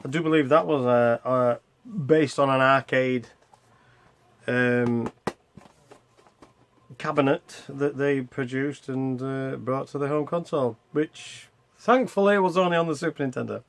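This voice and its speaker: A man's voice: mid-range voice, measured pace, slight background noise. A man hosting a retro gaming vlog in a casual, unscripted way, rambling and hesitant as he interrupts himself. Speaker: host of a retro gaming video channel.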